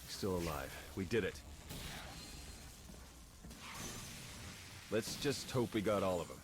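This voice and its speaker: A man's voice: low-pitched, animated, a little fast. An actor playing a wry, protective male secret agent in his thirties in a video game cutscene. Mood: relieved. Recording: game audio with noisy ambience behind.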